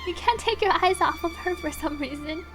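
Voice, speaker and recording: A woman's voice: very high voice, brisk, a bit bright. A woman game streamer chatting casually and reading on-screen text aloud in a chatty, giggly way. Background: game music.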